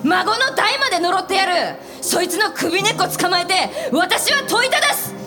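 Fierce, protective female office mentor, high and very animated, theatrical radio-play delivery, music playing behind. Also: normal speed. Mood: vengeful, indignant. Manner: emphatic, theatrical, commanding. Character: fiery, protective senior businesswoman, mentor to a junior colleague. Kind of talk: radio drama acting before a live audience.

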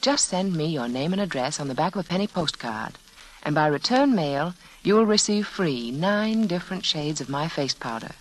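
An American woman giving a measured, confiding sales pitch as hostess of a 1940s radio show. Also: sing-song, low-quality recording. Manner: measured, confiding, direct address. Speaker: sponsor's hostess. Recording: old radio broadcast, faint noise.

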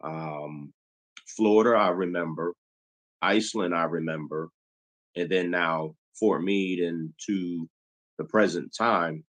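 A middle-aged man from Chicago hosting a casual interview, talking in an unhurried way with lots of ums. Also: deep voice, a bit bright. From Chicago, USA. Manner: slow, unhurried talker with frequent ums. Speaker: podcast host.

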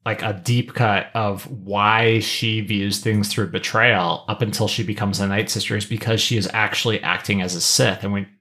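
A man's voice: fairly low pitch, fairly even intonation, normal speed, few pauses, bright, forceful tone. A man co-hosting a podcast, talking through his analysis casually in a thoughtful, explanatory manner. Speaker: podcast co-host.

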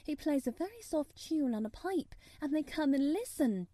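A woman's voice: sits high, very animated, moderate pace, mellow, voice pushed up. A woman voicing a frank, self-willed young girl who speaks earnestly and candidly in a storybook reading. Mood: wondering, eager.

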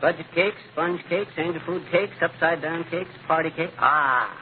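An actor playing a stubborn, cocksure, bungling husband with folksy bluster in a 1940s radio comedy. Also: male voice, on the high side, moderate pace, close to an everyday voice. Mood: busy, muttering. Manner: folksy slang, blustering, muttering to himself. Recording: hissy, narrow-band old radio recording.

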